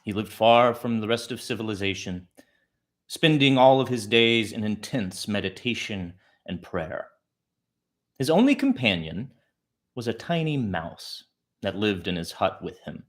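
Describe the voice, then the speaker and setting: A man narrating as a storytelling host, speaking deliberately in short phrases with pauses, as if for a bedtime tale. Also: lowish voice, animated.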